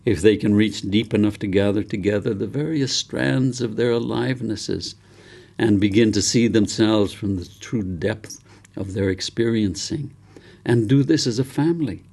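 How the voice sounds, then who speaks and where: A man narrating as an ancient, wise village elder in a novel, with a gravelly voice that lifts above a low register. He is solemn yet hopeful, delivering counsel in deliberate, oratorical phrases. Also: a little mellow.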